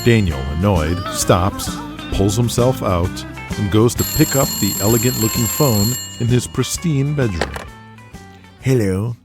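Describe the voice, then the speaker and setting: A man's voice: lowish voice, normal speed, over music. A man reading scripted dramatic narration for an audio drama.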